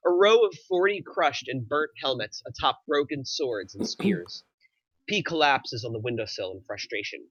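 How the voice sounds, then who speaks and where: A man's voice: medium pitch, lively intonation, moderate pace, fairly bright tone, husky, dry recording, dull audio. A man narrating the stage directions of a screenplay at a table read, in scripted present-tense style.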